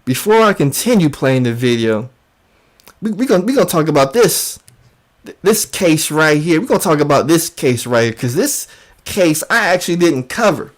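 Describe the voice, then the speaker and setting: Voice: mid-range voice, expressive, normal speed. An online commentator delivering a mocking call-out, emphatic and incredulous, often repeating phrases for effect. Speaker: YouTube commentator.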